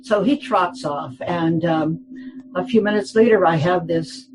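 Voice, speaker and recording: A woman's voice: fairly low pitch, measured pace, a little mellow, slight background noise, poor audio. A woman in her eighties, a guest telling rambling anecdotes in a casual interview, full of fillers and restarts, often laughing.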